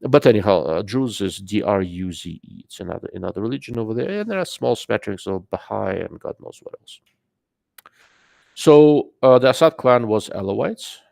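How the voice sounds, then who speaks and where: A man with a noticeable accent holds forth on his live stream, loose and rambling, full of fillers and asides to his viewers. His voice sits low and is heavily gravelly. The sound is dry and close, with no room around it. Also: expressive, moderate pace, a little mellow, no echo. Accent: non-native English. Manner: rambling, filler-heavy, greets chat mid-sentence. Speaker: livestream host. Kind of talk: casual live-stream commentary.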